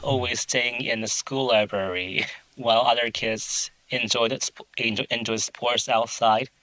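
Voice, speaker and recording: A man from Japan, a native Japanese speaker with a Japanese accent, talking as a guest in a casual interview, halting and searching for words. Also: mid-range voice, steady tone, sharp tone, no room sound, low-quality recording. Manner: halting, searches for words, many "you know" fillers. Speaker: translator, guest.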